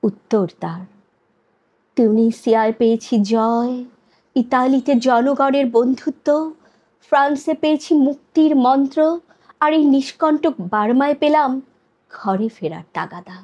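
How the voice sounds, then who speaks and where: A woman gives a dramatic poetry recitation in a somewhat high voice. She takes her time, moving very slowly through each phrase. Her delivery is solemn and measured, with deliberate pauses. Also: a little mellow, dull audio.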